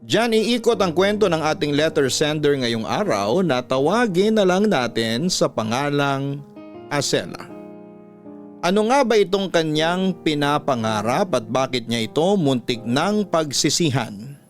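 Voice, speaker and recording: A man narrating a dramatic story as a radio host, measured and sentimental. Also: a little high, big pitch swings. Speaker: radio storytelling host reading listener letters. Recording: voice over soft background music.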